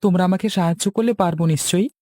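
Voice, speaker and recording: A man acts out a young village man for a cartoon. He is polite, deferential and hesitant, speaking fast in a raised voice with a dry sound. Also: high voice, dark tone, no room sound, voice pushed up. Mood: hesitant, respectful. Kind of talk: Bengali cartoon dubbing.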